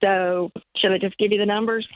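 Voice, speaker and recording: A woman's voice: medium pitch, fairly even intonation, fairly quick, no room sound. A woman in her sixties calling in to an advice show, talking hesitantly with many fillers and restarts. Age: 60s. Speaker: caller. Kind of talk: phone call to a radio advice show. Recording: tinny phone line.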